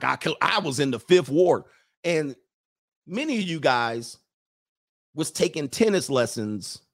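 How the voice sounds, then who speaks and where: A man in a loose, talky monologue, his pitch at an ordinary middle height. He keeps jumping into teasing impressions of other people, then breaks off laughing at his own jokes. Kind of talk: casual commentary monologue.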